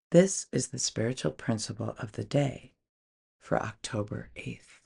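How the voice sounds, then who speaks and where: A woman's voice: a bass voice, creaky, mellow, breathy edge. A woman reading a daily recovery meditation aloud as a guest on a podcast.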